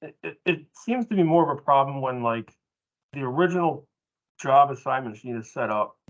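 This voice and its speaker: A man with a mid-pitched voice speaks up in a workplace training meeting. He explains informally, in a halting but emphatic way. The recording sounds dull and lo-fi. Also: animated. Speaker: training participant adding comments.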